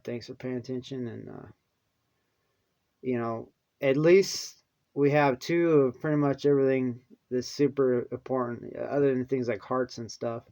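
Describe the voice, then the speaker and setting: A man vlogging in a rambling casual monologue, halting with many restarts, his voice dropping to mumbles and then perking up again. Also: medium pitch, darkish tone, dull audio.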